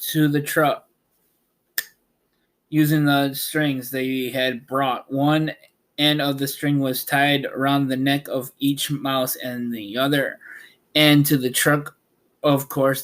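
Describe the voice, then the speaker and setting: A man reads a storybook aloud at a slow pace, in a mid-pitched voice. His delivery is halting, with the occasional stumble over a word, like an amateur audiobook narrator. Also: fairly bright tone. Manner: halting, stumbles and corrects words. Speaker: podcast host reading a storybook aloud.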